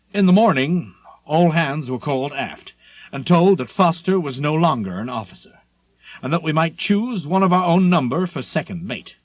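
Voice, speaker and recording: Male audiobook narrator: highly varied intonation, slightly high pitch, measured literary storytelling. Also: no room sound. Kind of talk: scripted literary narration of a memoir.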